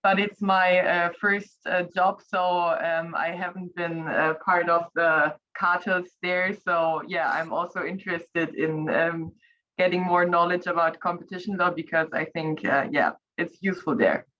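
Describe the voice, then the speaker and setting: Young woman from Germany, bright edge, casual class introduction on dull call audio. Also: fairly low pitch, steady tone, a little slow, a little hiss or hum. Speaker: course participant, compliance officer.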